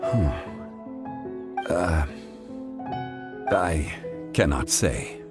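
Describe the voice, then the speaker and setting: An actor playing a calm, wistful ancient god of contracts in a video game, speaking in a measured, formal way. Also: male voice, a bass voice, loud music behind the voice, full character voice. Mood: regretful, firm. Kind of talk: dramatic video game dialogue.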